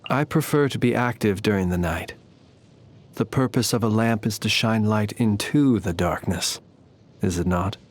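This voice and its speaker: Man playing a courtly, eloquent, ancient fae gentleman. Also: medium pitch, close to an everyday voice. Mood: courteous, leisurely. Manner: formal, eloquent, measured, theatrical phrasing. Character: courtly nocturnal fae lantern keeper, ancient gentleman, calm and wry. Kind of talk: scripted video game character voice lines.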